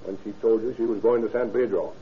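A man plays a skeptical police interrogator in an old radio crime drama. He has a lowish voice and a dry, sardonic manner. The sound is thin and tinny, like a 1930s broadcast. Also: fairly even intonation, quick pace, close to an everyday voice.

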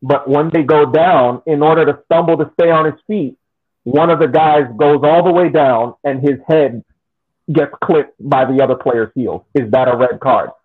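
Husky man on a tinny line. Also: fairly high pitch, moderate pace. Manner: methodical, argues through hypotheticals. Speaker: podcast co-host. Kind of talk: casual sports debate.

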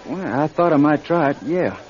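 Man acting a halting young drifter, music behind. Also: a little high, lively intonation, a little mellow, lightly acted. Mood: hesitant, low-key. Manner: halting, plainspoken, folksy. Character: easygoing drifting country singer, young man, hesitant. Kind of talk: radio drama acting with first-person narration. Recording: slightly dull radio recording.

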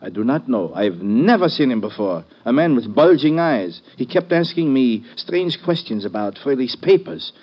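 A man's voice: medium pitch, big pitch swings, moderate pace, hardly any pauses, highs cut off. An actor playing a mild, puzzled male witness in an old radio drama, with formal, hesitant phrasing. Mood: uneasy, puzzled. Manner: formal, hesitant, precise wording.

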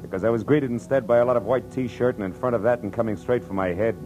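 An actor playing a wry, world-weary private detective narrating in a 1940s radio drama style. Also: male voice, mid-range voice, fast, a little mellow, muffled recording, lightly acted. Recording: old radio recording with music.

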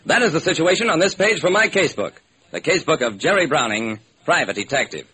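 A man's voice: a little high, expressive, fast, sharp tone, breathy edge. An American man delivering theatrical, hard-boiled first-person detective narration in the style of old-time radio. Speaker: narrator and solo performer of a detective radio series. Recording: noisy old radio recording.

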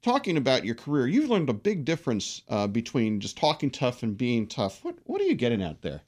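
Lively-voiced man hosting a radio show. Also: medium pitch, brisk. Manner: reflective, summarizing. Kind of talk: interview and sponsor reads.

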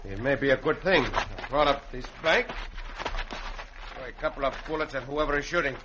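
An actor playing a brave, commanding yet kindly caballero hero with a Mexican accent in a radio western. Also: male voice, medium pitch, brisk, lightly acted. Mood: calm, reassuring. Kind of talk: old-time radio western acting. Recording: muffled, very noisy vintage radio recording.

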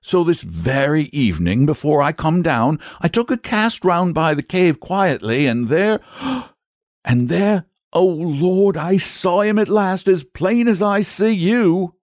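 Man acting a frightened rustic shepherd, wildly animated, high-pitched. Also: a little slow, no room sound, studio quality, heavily acted. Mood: nervous, awed. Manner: rambling, dialect country speech with emphatic repetitions. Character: frightened, rustic shepherd father, nervous and indignant.

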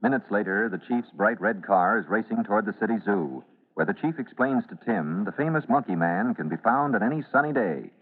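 A man's voice: on the low side, animated, brisk. A man narrating a classic radio serial in a theatrical, emphatic announcer style. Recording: narrow vintage radio recording.

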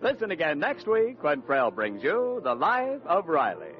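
Lively American radio announcer man. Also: on the high side, unhurried. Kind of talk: 1940s radio announcing, scripted ad read. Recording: muffled old radio recording with music.

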